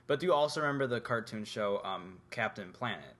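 A man's voice: on the low side, animated, brisk, a bit bright. A young man co-hosting a podcast, chatting casually and rambling with lots of filler words. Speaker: podcast co-host. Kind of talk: casual chat.

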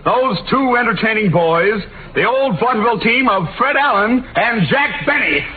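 A man's voice: extremely high pitch, lively intonation, moderate pace. A man announcing acts in the style of a 1940s radio variety show. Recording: noisy, tinny 1940s radio broadcast.

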